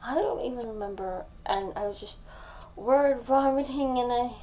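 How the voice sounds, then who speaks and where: A tipsy young woman played by a voice actress pours out her love to her best friend in an intimate audio drama. Her voice is soft, mellow and fairly high, breathy and halting, with slow, slurred words. There is faint noise behind her. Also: lightly acted. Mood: flustered, defensive. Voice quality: soft, breathy, often dropping to a whisper. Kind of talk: intimate audio roleplay acting.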